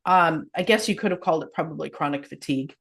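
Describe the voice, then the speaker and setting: A woman hosting a podcast in an informal, chatty and expressive conversational style. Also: deep voice, fast, gravelly, husky. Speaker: podcast host.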